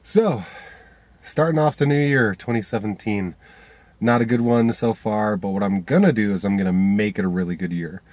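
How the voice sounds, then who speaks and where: A man with a somewhat low voice talks straight to a handheld camera from inside his car. He sounds stuffy from a cold. He rambles casually, full of fillers and restarts. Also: moderate pace, a little mellow, no room sound. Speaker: vlogger.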